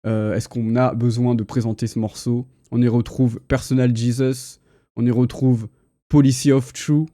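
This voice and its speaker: A man's voice: medium pitch, flat delivery, moderate pace, dark tone, dry recording, studio quality. A man, hosting a casual, slangy pop culture livestream chat with enthusiasm. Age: 30s. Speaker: host. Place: indoor livestream.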